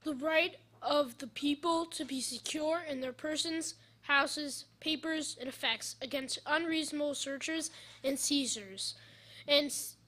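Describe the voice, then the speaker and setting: A woman's voice: high voice, a little slow, pushed and bright. A woman reading a formal legal text aloud at a public event, sometimes stumbling and repeating words. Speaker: reader.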